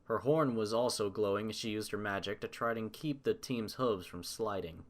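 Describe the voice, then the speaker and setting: A man's voice: lowish voice, normal speed, noisy background. A man reading scripted story narration as the narrator.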